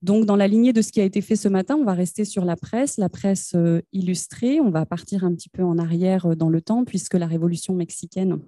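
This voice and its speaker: Very dark-voiced woman lecturer, halting. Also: fairly low pitch, no room sound, clean studio sound. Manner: halting, frequent fillers. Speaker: university lecturer giving a conference paper. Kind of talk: academic conference presentation.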